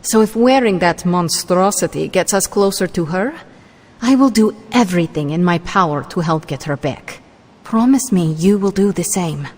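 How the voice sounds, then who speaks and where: An actress playing a sardonic, blunt, fiercely loyal superheroine in a video game cutscene, with a wry manner. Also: female voice, lowish voice, normal speed, darkish tone, lightly acted. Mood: determined, concerned. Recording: clear music behind voice.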